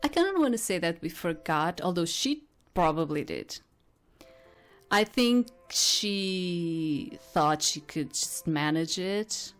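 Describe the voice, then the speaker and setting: Low-voiced, unhurried woman, chatty gaming commentary. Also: expressive, faint music behind. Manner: chatty, rambling asides. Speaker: gaming host playing a visual novel. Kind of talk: let's play, reading game text aloud with casual commentary.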